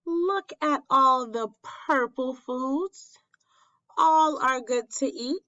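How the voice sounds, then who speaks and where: Slow-paced woman reading to young children. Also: high voice, pushed and bright, muffled recording. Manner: deliberate, simple phrasing for young children, asking questions. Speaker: elementary school teacher reading aloud. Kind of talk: read-aloud of a children's picture book.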